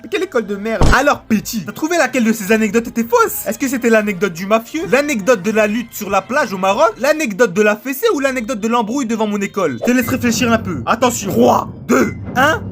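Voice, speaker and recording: A man's voice: very high voice, expressive, quick pace, few pauses, a bit bright. A young man telling funny anecdotes to his viewers in a casual, slangy, joking way. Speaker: YouTube storyteller. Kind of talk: casual anecdote storytelling to camera.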